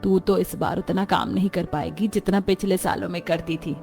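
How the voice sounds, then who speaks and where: A voice actress playing an older mother-in-law who runs the household with caring firmness, in an animated story. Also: on the low side, normal speed, vocal fry, soft background music. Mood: coaxing. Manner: motherly, gently commanding.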